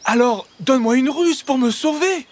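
A man's voice: extremely high pitch, normal speed, voice pushed up. A man voicing a clever, exasperated but kind-hearted hedgehog in a children's audio storybook, speaking emphatically. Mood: urgent. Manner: emphatic, scolding.

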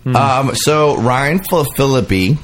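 A man's voice: medium pitch, lively intonation, takes it slowly. A man hosting a casual comic gossip podcast in a sassy, catty, campy way. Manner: sassy, catty, campy, acts out imagined quotes. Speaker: podcast host. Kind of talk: casual celebrity gossip chat with comic banter.

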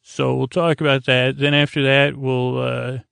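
Male sleep-podcast host, creaky voice, close dry sound, wandering and hesitant delivery full of false starts. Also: mid-range voice, level tone, a bit bright, dry recording. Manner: meandering, tangent-prone, halting and stammering; languid, drawn-out delivery meant to lull. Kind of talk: rambling bedtime podcast monologue.

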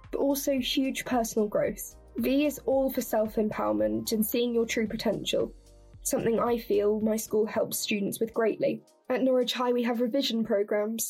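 A woman's voice: a little high, darkish tone, husky, low-quality recording. A teenage girl from England, a school pupil reading a formal, rehearsed introduction of a guest. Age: teens. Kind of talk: read-out scripted introduction.

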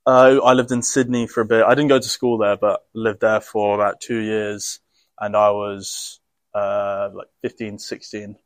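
A young man with a fairly low voice, answering interview questions in a relaxed and easygoing way. He laughs easily, and the recording is dry and close. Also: unhurried, dry recording. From grew up in many countries. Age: young adult. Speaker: rugby player guest.

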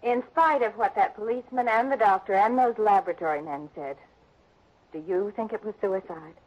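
An actor playing a calm, composed young heiress who presses the detective with questions, in a 1960s radio drama. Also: female voice, mid-range voice, moderate pace, natural voice. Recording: dull lo-fi old radio broadcast.

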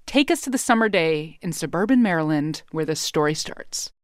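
A woman's voice: low voice, expressive, moderate pace, no echo, clean studio sound. An American woman hosting a science podcast in a casual, playful, conversational storytelling style. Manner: informal, playful.

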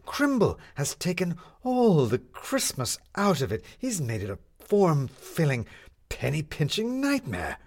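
A man's voice: on the high side, huge pitch swings, a little slow, pitched above the performer's own voice, heavily acted. A man voicing an indignant male schemer on the run in a storybook reading, with a blustering, theatrical, emphatic delivery.

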